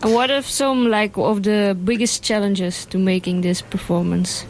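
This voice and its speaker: Woman asking quick radio questions. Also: mid-range voice, fairly even intonation, darkish tone, slight background noise, poor audio. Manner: brief, casual questions. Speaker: radio presenter. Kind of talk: casual radio interview.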